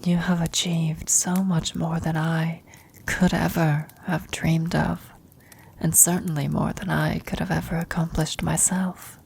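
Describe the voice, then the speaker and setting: A dying old adventurer , played by a woman, speaks in a very husky, breathy whisper. She is tender and serene with her former lover in this ASMR roleplay, taking her time over each reflective phrase. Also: low voice, flat delivery, a little slow, darkish tone, heavily acted. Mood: proud, loving.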